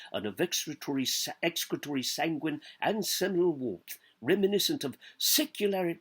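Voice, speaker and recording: Theatrical man reading with very lively pitch. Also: breathy edge. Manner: declamatory, deliberate, dwelling on lists and pausing between phrases. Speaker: actor giving a public literary reading. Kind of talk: theatrical reading aloud of literary prose.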